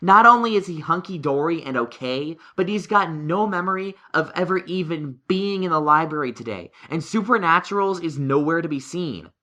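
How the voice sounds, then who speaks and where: A studious young unicorn stallion from an audio story, played by a man, earnest and analytical. The voice is bright and sharp, with very animated, emphatic swings in pitch. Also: moderate pace, hardly any pauses. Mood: insistent. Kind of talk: character acting in a fan-fiction audio story.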